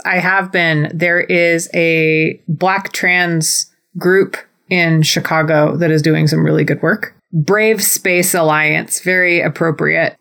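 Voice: mid-range voice, a bit bright. A podcast co-host chatting casually in an enthusiastic, reactive way.

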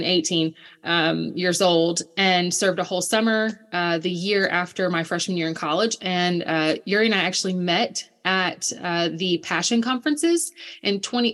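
A woman, a missionary guest telling her story in a lively, chatty way. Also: on the low side. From Alabama, US. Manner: lively, storytelling, quick asides.

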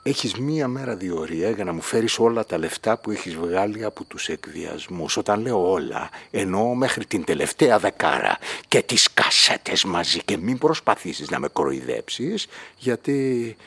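A man's voice: deep voice, sing-song, creaky, a bit bright, breathy edge, exaggerated voice. An actor playing a violent, coldly mocking male villain in a Greek radio play, threatening and commanding. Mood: cold menace.

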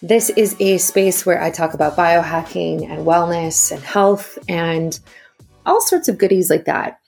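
Young female podcaster, upbeat rambling chat, heavy vocal fry. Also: lowish voice, expressive, unhurried, a little mellow, soft background music. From South Africa. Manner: chatty, upbeat, heavy use of "like". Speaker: podcast host. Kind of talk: casual solo podcast chat.

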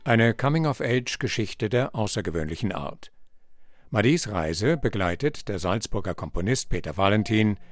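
A man hosting a podcast, reading scripted narration in a measured, deliberate way. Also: on the low side, animated, no room sound, faint music behind.

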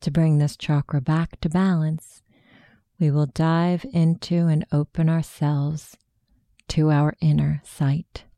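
A woman narrating a guided meditation in a slow, hushed, soothing way of instructing. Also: sits low, level tone, no room sound, studio quality.

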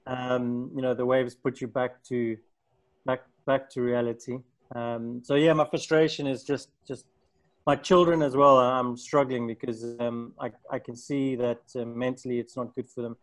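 Tired south african guy on a video call, flat voice, lots of ums. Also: mid-range voice. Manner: halting, tired, many ums. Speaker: designer in a video call group. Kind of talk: casual chat.

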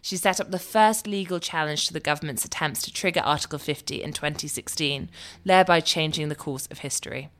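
A woman's voice: low-pitched, fairly quick, fairly bright tone. A woman hosting a podcast, introducing her guest and asking friendly questions. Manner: friendly, asks questions, laughs along. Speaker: podcast host. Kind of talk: podcast interview.

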